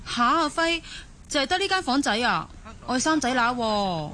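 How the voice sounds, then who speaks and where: A woman's voice: high-pitched, big pitch swings, moderate pace, pushed and bright, full character voice. A woman acting a discontented, outspoken young wife and mother from the mainland in a Cantonese radio drama. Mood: disappointed, complaining. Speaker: voice actor in an audio drama.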